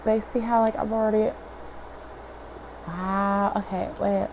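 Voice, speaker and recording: A hoarse woman with a raspy voice, chatting and thinking aloud in casual gameplay commentary as she streams. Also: medium pitch, flat delivery, a little slow. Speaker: game streamer. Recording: dull lo-fi stream audio with faint noise.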